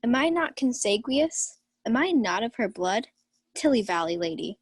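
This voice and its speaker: A woman's voice: fairly high pitch, big pitch swings, normal speed, husky, no room sound. A young woman playing a drunken, rowdy, flippant uncle in a Shakespeare radio play. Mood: drunken, boisterous. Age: young adult. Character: Sir Toby Belch, drunken rowdy carousing uncle.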